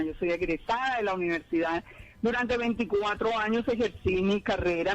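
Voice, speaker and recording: Guest: woman, 60s, earnest testimony, muffled remote line. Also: medium pitch. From Colombia. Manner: earnest, with frequent restarts. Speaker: guest, Torah teacher. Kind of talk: interview answers, testimony.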